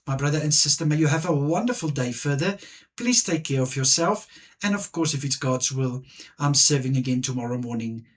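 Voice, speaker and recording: A man whose first language is Afrikaans, preaching a devotional message in earnest, halting speech with frequent restarts. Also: mid-range voice, expressive. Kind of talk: devotional sermon and prayer.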